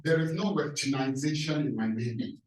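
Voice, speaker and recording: A man's voice: mid-range voice, moderate pace. A man from Cameroon, a professor introducing himself on a panel, speaking emphatically and posing fiery rhetorical questions. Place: large echoey hall. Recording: echoey hall from a distance.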